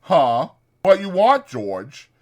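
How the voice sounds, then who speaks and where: A man's voice: fairly high pitch, huge pitch swings, slow, voice pushed up, full character voice. A man voicing a simple, childlike big strong man in a dramatized audiobook, breathless and pleading. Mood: feigned innocence. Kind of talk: dramatized audiobook character acting.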